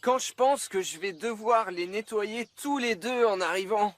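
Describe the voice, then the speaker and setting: Casting: eager, slightly dim young cartoon fireman, very high for a man, unhurried, hesitant. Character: eager, bumbling male junior firefighter. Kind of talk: French children's cartoon dub. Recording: light music behind the voice.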